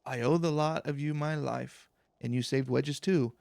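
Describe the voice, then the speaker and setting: A man voicing an earnest, grateful and flustered engineer from a fantasy game, speaking haltingly. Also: mid-range voice, steady tone, creaky, darkish tone, no room sound, clean studio sound. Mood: flustered, moved.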